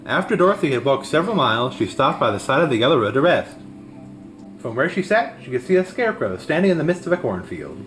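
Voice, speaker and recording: A man narrates a storybook with a lively, swooping delivery. He reads aloud expressively, and music plays softly behind him. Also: a little high, quick pace.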